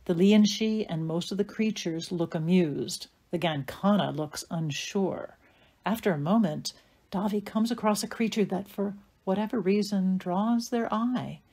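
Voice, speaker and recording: A woman performing as the narrator of a dark fairy tale audio play, in scripted dramatic narration. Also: mid-range voice, a little slow. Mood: measured narration.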